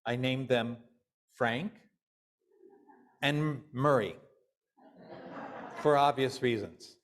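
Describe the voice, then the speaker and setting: A male minister delivering a sermon in deliberate, measured phrases with pauses. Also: mid-range voice.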